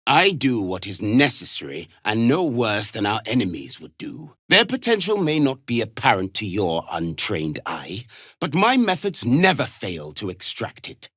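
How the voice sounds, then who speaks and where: Sharp, contemptuous alien drillmaster. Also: male voice, lowish voice, wildly swooping pitch, moderate pace, husky, dry recording, clean studio sound, full character voice. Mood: cold, menacing. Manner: imperious, scornful, clipped commands. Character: harsh, contemptuous alien warrior instructor drilling young recruits. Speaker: combat trainer. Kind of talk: video game character acting.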